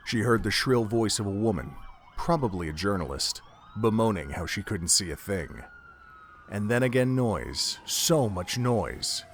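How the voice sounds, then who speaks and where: Low-pitched male narrator of drama. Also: expressive, measured pace, slight background noise. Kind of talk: dramatic scripted audiobook-style narration.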